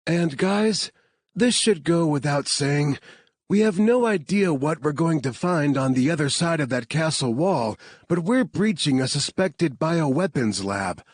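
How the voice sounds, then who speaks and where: A woman's voice: low-pitched, expressive, a little slow, a little mellow, dry recording, studio quality, pitched above the performer's own voice. A man voicing a commanding woman team leader in an audiobook.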